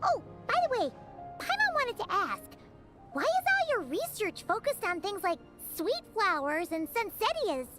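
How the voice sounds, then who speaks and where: A woman voicing a tiny floating girl companion in a video game, chatty and excitable, with a very high bouncy childlike voice. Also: full character voice. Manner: eager, quick to react. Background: game music.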